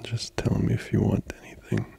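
A man playing a tender, comforting boyfriend in an intimate ASMR roleplay, breathy and often whispering, with slow, soothing murmurs. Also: fairly low pitch, flat delivery, dark tone, exaggerated voice. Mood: gently playful.

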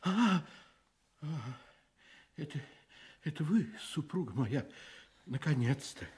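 An actor playing a fussy, stubborn, self-important husband in a radio drama, grumbling and pompous. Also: male voice, on the high side, lively intonation, slow pace, a little mellow. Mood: peevish, stubborn.

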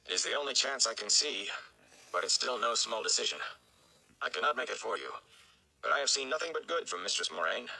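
A man playing a cautious, deliberate male village mayor in a storybook, speaking hesitantly. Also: mid-range voice, lively intonation, gravelly, sharp tone, pitched above the performer's own voice, exaggerated voice, low-quality recording. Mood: grave, hesitant. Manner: slow, hesitant.

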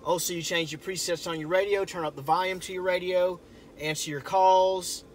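Middle-aged man, high-pitched, informal car-walkaround presenter, recorded on camera in a truck cab with some background noise. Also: fairly even intonation, normal speed. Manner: folksy, informal. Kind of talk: casual vehicle walkaround. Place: inside a pickup truck cab in a parking lot. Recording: camera audio with cabin noise.

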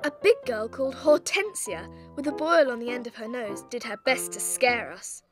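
Woman playing a little schoolgirl, British, high voice, eager first-person storytelling, music behind, audiobook drama. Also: normal speed, natural voice. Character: bright little schoolgirl recounting her own story.

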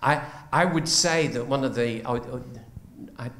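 Older northern man from the floor, rambling and restarting, mid pitch, roomy sound. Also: takes it slowly, fairly bright tone. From north of England. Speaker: audience member. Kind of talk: audience question. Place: lecture theatre audience seating. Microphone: handheld mic. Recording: echoey lecture hall.

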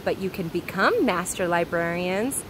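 A woman voicing a calm, mysterious old enchantress librarian in a children's storybook, measured and solemn. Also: lowish voice, noisy background, natural voice. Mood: calm, explaining.

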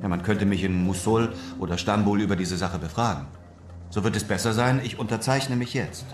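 A man playing a cool, shrewd adventurer in a radio play, speaking calmly and with deliberate insistence. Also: lowish voice, music in the background, natural voice. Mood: calm, sly. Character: cool, shrewd European adventurer driving a hard bargain. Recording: echoey room with background noise.

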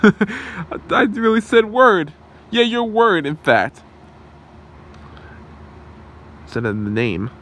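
A man voicing an earnest, cheeky and defiant young superhero in an amateur ASMR roleplay, quippy and animated. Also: sits high, slow pace, voice pushed up, full character voice. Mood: cheeky, alert. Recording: noisy room hum.

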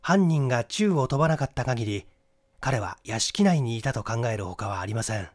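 Man playing a lively, confident young amateur detective. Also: mid-range voice, normal speed, natural voice. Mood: confident reasoning. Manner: explanatory, methodical, rhetorical questioning. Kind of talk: Japanese mystery audiobook acting.

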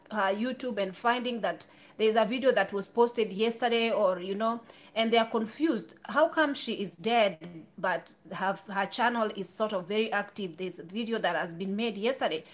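A woman's voice: fairly high pitch, moderate pace. A woman in her thirties talking candidly to camera in a small room, chatty, with restarts and asides. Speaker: YouTuber. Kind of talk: casual talk to camera.

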